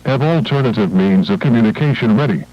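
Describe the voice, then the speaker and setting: A man's voice: mid-range voice, lively intonation, quick pace, no room sound. Dull radio-like male emergency announcer. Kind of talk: formal scripted government alert. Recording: dull, band-limited radio-like sound.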